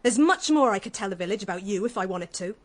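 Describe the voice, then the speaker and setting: An actress playing a defiant young English housemaid in a radio drama, bold, mocking and cutting. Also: female voice, mid-range voice, expressive, fast talker, natural voice. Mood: angry defiance. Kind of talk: British radio drama acting.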